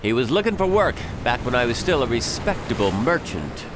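An actor playing a bold pirate captain in an audio drama, speaking in a formal, declamatory way. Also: male voice, medium pitch, sing-song, fairly quick, background noise, lightly acted. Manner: well-spoken, declamatory.

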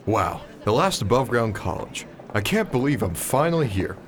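A man's voice: medium pitch, big pitch swings, moderate pace, noisy background, lightly acted. A man playing a naive, wide-eyed, awkward college freshman in an audio drama, speaking in a hesitant, curious, slangy way.

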